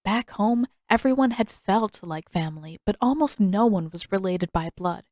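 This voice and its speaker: A woman's voice: medium pitch, lively intonation, normal speed, darkish tone, no room sound. Woman narrating a story, dry close sound. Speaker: fan fiction narrator. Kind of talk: scripted audiobook narration.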